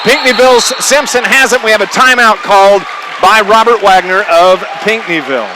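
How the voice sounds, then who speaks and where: A male play-by-play announcer with a distinctly high voice calls a live basketball game for radio. He talks in short, running phrases and often raises his voice. Crowd noise and gym clatter are plainly audible behind him. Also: normal speed. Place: packed high school gymnasium. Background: crowd, bouncing basketballs.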